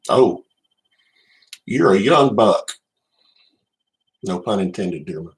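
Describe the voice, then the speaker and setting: A middle-aged man with a Southern US accent and a low-pitched voice hosts a casual livestream. He talks in an unhurried, conversational way, addressing viewers directly by name. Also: expressive. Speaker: livestream host, card collector.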